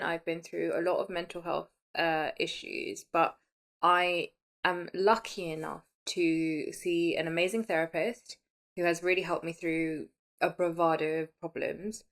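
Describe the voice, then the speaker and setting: A woman's voice: fairly low pitch, a bit bright. A woman in her late thirties talking casually and candidly as the host of a personal podcast, in a chatty, conversational way. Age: late 30s.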